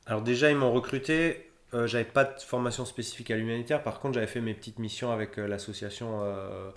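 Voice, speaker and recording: A man's voice: mid-range voice, fairly even intonation, fast. A man in his thirties, a humanitarian logistician, answering interview questions in a rambling way with many fillers and restarts. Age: 30s. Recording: roomy echo.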